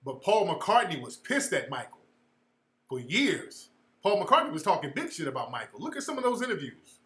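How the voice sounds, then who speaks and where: A man's voice: a little high, very animated, normal speed, bright, forceful tone, echoey room. A man telling stories in casual commentary, emphatic and full of profanity.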